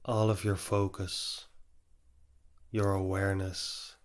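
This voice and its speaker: A male meditation guide speaks extremely slowly in a low register. His delivery is flat and steady, with short instructive phrases set apart by long pauses.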